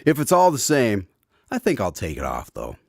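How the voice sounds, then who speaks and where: An actor playing a mild, good-natured guest and announcer in a scripted radio drama. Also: male voice, lowish voice, wildly swooping pitch, moderate pace, vocal fry, darkish tone, husky, no room sound, studio quality, full character voice. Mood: mild, wry. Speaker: narrator and credits announcer. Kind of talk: scripted radio drama acting and closing credits.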